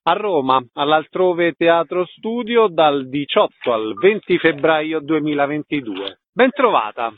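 A man's voice: a little high, very animated, a little slow, hardly any pauses. A man hosting a radio arts programme, giving scripted introductions and putting questions to guests. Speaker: radio interviewer and host. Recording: narrow, tinny radio sound.

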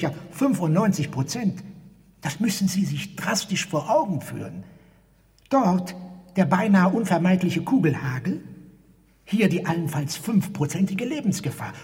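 An actor playing a meek, wheedling garage attendant in a radio drama, with wordy, formal pleading that often drops toward a breathy whisper. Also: male voice, on the high side, darkish tone, close to an everyday voice. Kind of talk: theatrical radio drama dialogue. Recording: reverberant hall-like space.

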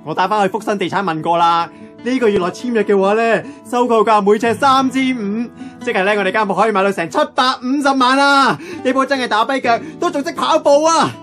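A man's voice: extremely high pitch, brisk, fairly bright tone. Man acting an eager, impatient young Hong Kong nephew. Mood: excited. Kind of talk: radio drama acting. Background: plucked guitar music.

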